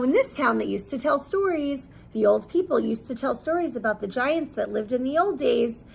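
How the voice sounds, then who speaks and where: A woman reads a picture book to young children in a high, very animated voice. Her tone has a creaky, husky grain, and she explains things simply as she goes. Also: slight background noise. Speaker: preschool teacher reading aloud.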